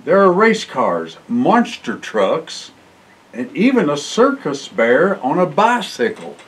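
An older man reading a children's picture book aloud in an expressive way, with exclamations and short asides. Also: a little high, slow. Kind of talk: storybook read-aloud for children. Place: indoor library room, seated by bookshelves.

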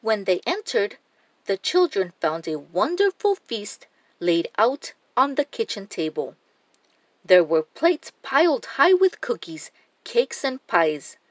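A woman narrating a children's storybook in a deliberate, expressive reading style. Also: medium pitch, no room sound.

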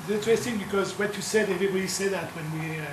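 A man from Europe in his fifties, answering on a panel in an animated way, laying out his points one by one. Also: high voice, very fast. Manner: animated, explaining with points in order. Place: conference hall panel table. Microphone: table mic, close camera. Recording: roomy hall, distant mic, noise.

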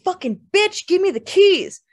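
A woman voicing a loud, drunk, volatile man in dramatized audiobook dialogue. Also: on the high side, animated, moderate pace, voice pushed up. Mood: furious screaming.

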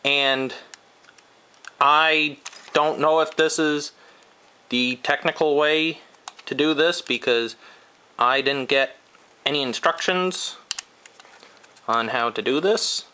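A man giving a hands-on how-to lesson at a workshop bench, explaining each step slowly and methodically as he works. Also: fairly high pitch, sharp tone, smooth. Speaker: DIY welding instructor.

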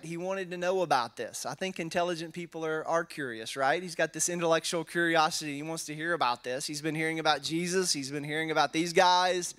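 A man in his forties with a Texas accent, teaching a Bible passage in a relaxed, conversational way and often checking in with "right?". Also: fairly high pitch. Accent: Texan. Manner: casual, tags statements with "right?", thinks aloud with fillers. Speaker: pastor. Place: wood-paneled church hall, indoors. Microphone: handheld microphone.

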